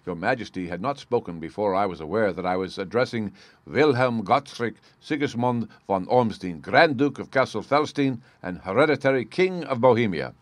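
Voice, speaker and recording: A man's voice: on the low side, animated, normal speed, no echo, voice pushed up, natural voice. A man performing astute detective, unruffled, incisive, dry wit, clipped formal speech, classic mystery tale. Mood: dry, knowing. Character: cool, astute gentleman detective, languid and incisive.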